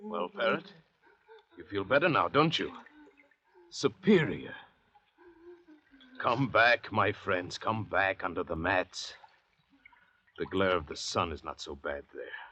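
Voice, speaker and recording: A man's voice: low voice, lively intonation, slow, long pauses, sharp tone, exaggerated voice. A man playing an arrogant, learned castaway doctor in a 1940s radio drama, weary and theatrically lecturing. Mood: soothing, condescending. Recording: dull 1940s radio recording.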